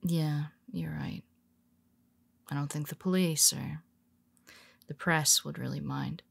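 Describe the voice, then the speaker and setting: Tired superhero woman, voiced by a woman, wry and teasing, unhurried delivery, dry close sound. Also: low voice, fairly even intonation, vocal fry, slightly rough, no room sound, exaggerated voice. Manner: teasing, soft-spoken, slips into whispers. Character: weary teasing female superhero, nemesis. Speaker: audio roleplay performer. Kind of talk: intimate audio roleplay.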